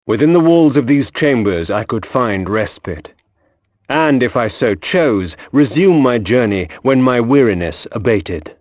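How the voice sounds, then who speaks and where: A man's voice: mid-range voice, expressive, a little slow, a little mellow, no echo, dull audio, lightly acted. An actor playing a brooding, vengeful vampire nobleman, narrating a game in a theatrical, grave and ornate manner. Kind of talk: dramatic scripted game narration.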